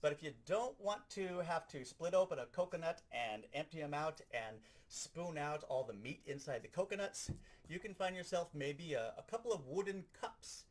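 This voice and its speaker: Animated, higher-voiced man giving a hands-on demo. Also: normal speed. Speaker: sound effects instructor. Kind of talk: hands-on instructional demonstration.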